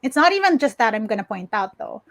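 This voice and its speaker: Filipina podcast cohost, kinda high voice, dry close sound, outspoken casual chat. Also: female voice, sing-song, fast, a bit bright, no echo. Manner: outspoken, emphatic. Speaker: podcast co-host and tech content creator.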